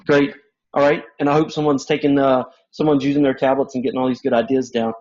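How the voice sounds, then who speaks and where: A man from Louisiana presenting at a conference session, loud and chatty, often restarting his words as he speaks to the audience. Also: medium pitch, level tone, quick pace. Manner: loud, chatty, repeats word starts. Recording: dull lo-fi conference recording.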